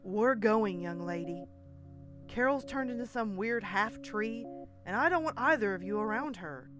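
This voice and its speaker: A woman's voice: medium pitch, expressive, measured pace, natural voice. A woman playing a feisty, exasperated and protective wife and mother, blunt and indignant, in an audio horror drama. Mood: firm. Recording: music bed behind the voice.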